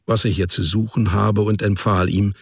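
Low, gravelly male storyteller. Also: fairly even intonation, moderate pace, no echo, studio quality. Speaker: narrator. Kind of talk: literary narration in a radio drama.

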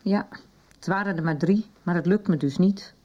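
A woman's voice: lowish voice, moderate pace, muffled recording, close to an everyday voice. An actress playing a troubled middle-aged mother in a radio soap, guarded and ashamed about her drinking. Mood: defensive. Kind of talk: 1990s Dutch radio drama acting.

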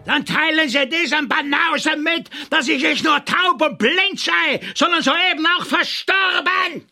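An actor playing a gruff, stubborn deaf composer in a comic radio play, curt and declamatory. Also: male voice, extremely high pitch, measured pace, pushed and bright, faint music behind, full character voice. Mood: indignant.

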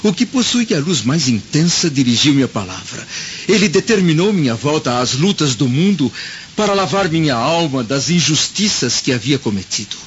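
A man's voice: on the high side, big pitch swings, moderate pace. An actor playing a troubled Roman senator in a radio play, solemn and anguished as he recounts things theatrically. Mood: solemn, awed. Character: troubled Roman senator recounting a haunting vision of past life. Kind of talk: dramatic radio play acting. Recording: dull lo-fi audio with loud storm noise.

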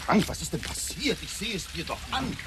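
A man's voice: high-pitched, very animated, brisk, heavy background noise. An actor playing a bitter, exasperated middle-aged father who is easily enraged, in a German radio drama. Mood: irritated, suspicious. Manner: exasperated, scolding, brooding.

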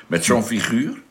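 An actor playing a wry, relaxed spaceship crewman in a radio drama. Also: sits low, exaggerated voice.

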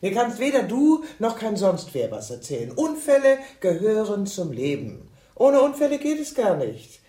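A woman's voice: medium pitch, wildly swooping pitch, normal speed, dark tone, slightly rough. An actress in a radio drama playing a lovesick, scheming insurance clerk in her fifties, intense and bitter, moving between pleading and insistence. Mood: insistent, defensive. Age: 50s. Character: lovesick, scheming, defensive insurance clerk woman, fifty-two. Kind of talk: radio drama acting, dialogue and confessional monologue.

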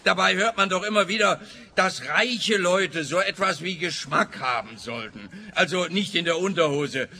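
A man acting a chatty, self-satisfied, bumbling police detective in a comic radio play, rambling pompously and haltingly. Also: high-pitched, lively intonation, sharp tone, exaggerated voice. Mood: musing, nosy.

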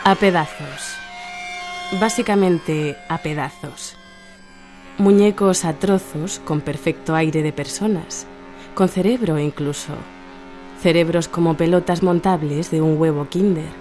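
A detached female narrator speaks in a lowish voice with faint music behind her. Her poetic radio monologue comes in measured, fragmented, reflective phrases. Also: a little mellow. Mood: cool, reflective.

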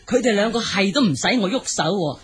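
A woman's voice: medium pitch, animated, fast talker, noisy background, lightly acted. An actress playing a modest, good-natured elderly mother-in-law in a radio drama, agreeable and self-deprecating. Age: older.

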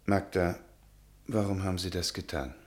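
An actor playing a naive young scholar who speaks earnestly and pleads, in a radio play. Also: male voice, low voice, steady tone, normal speed, lightly acted. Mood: anxious.

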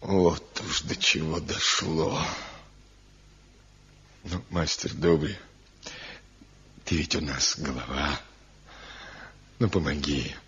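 An actor playing an anxious, pleading old village father in a radio drama, with a husky voice. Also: extremely low pitch, fairly even intonation, slow, long pauses, bright, forceful tone, heavily acted. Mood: pleading, troubled. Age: older. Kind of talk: Soviet radio drama acting. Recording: dull 1970 radio play recording.

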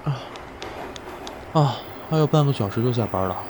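A man playing a worn-out train driver who fights off sleep with drowsy, drawn-out sighs in an audio horror drama. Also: mid-range voice, expressive, background noise, close to an everyday voice. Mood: weary, reassuring.

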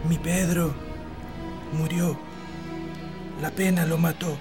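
An actor with a Chilean accent playing a humble, grieving old servant in a radio drama, solemn and deferential. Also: male voice, fairly high pitch, steady tone, slow, over music. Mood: grieving, accusing.

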